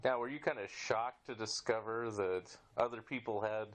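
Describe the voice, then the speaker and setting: A man's voice: lowish voice, steady tone, moderate pace, a bit bright. Easygoing man hosting a casual interview. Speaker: podcast host, interviewer.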